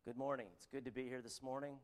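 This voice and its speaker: A man with a Canadian accent delivers a church sermon in a mid-range voice. His delivery is earnest and halting, full of ums and restarts. He reads scripture aloud between plain, friendly remarks. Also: mid-range voice, little pitch movement. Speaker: preacher.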